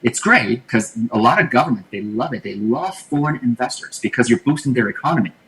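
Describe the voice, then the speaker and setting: A man's voice: medium pitch, animated, brisk, a bit bright, rough recording. A man from Taiwan, a podcast guest, explaining his plans in a thoughtful, casual way and often repeating words as he thinks. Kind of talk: casual interview talk.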